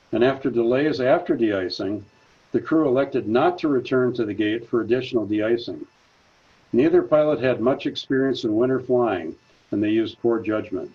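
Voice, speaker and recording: A man delivers a prepared talk at a senior center, moving steadily through his notes. His voice is husky and sits at an ordinary pitch. The recording sounds dull and lo-fi. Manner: measured, reads from notes. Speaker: presenter.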